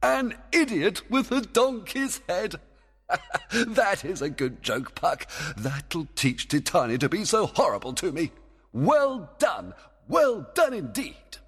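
A man voicing a commanding, excitable fairy king in a storybook, emphatic and theatrical. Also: on the high side, measured pace, hardly any pauses, husky, in a large hall, faint music behind, pitched above the performer's own voice, exaggerated voice. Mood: delighted. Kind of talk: storybook character voice.

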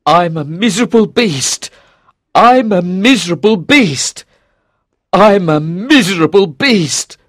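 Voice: high-pitched, fairly even intonation, slow pace, hardly any pauses, fairly bright tone. A performer voicing a desperate, pleading father in a storybook, with anguished, emphatic delivery. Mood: penitent.

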